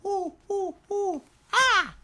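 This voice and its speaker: A storyteller voicing an excitable little monkey with shrieking, hooting ape calls in a bedtime story. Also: extremely high pitch, slow, noisy background, pitched above the performer's own voice, heavily acted. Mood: excited hooting.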